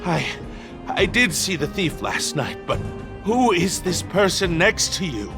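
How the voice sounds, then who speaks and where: A man voicing a nervous, easily frightened night patrolman in a video game, stammering and halting as he talks. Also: high voice, very animated, over music, exaggerated voice. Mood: startled.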